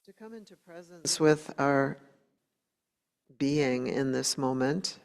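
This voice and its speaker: A woman meditation teacher leading a guided meditation in a gentle, unhurried way, leaving long pauses between short phrases. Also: rumbling low, vocal fry. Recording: long hall reverb.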